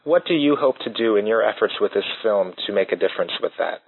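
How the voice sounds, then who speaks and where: A man interviewing a guest on the radio, asking his questions in a polite, measured way. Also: on the low side, lively intonation, vocal fry, slightly rough. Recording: thin phone-like sound.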